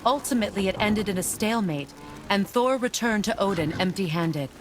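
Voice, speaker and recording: A woman plays a motherly, wise sorceress from a fantasy game. She speaks formally and solemnly in a mid-range voice, with clear rain noise around her. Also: mid-range voice, brisk, lightly acted. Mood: solemn storytelling. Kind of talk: dramatic game storytelling.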